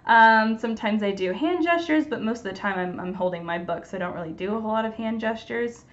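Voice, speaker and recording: A woman's voice: on the high side, fast talker. A young adult woman giving casual, earnest tips to camera on reading stories to kids, in a plain, encouraging way. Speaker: storytime presenter. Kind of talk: casual instructional talk to camera. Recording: dull lo-fi recording.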